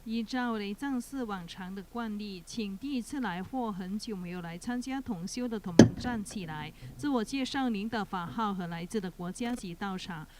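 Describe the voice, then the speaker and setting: A woman's voice: mid-range voice, normal speed, few pauses. A woman acting as emcee at a temple ceremony, announcing politely and formally and inviting the assembly in set phrases. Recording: loud background noise.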